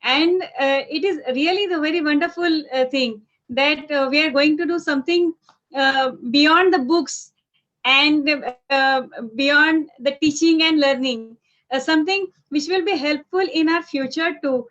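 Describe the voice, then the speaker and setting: An Indian-accented woman with a high voice introduces herself on a video call. She speaks earnestly and carefully, pausing on many fillers, and the call audio sounds dull and lo-fi. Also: a bit bright. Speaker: secondary school teacher.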